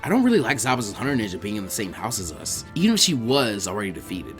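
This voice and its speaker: A man's voice: fairly low pitch, huge pitch swings, quick pace, over music, voice pushed down. A man voicing an apprehensive, doubtful young ninja girl in a story reading, sounding unsure. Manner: uneasy, disbelieving.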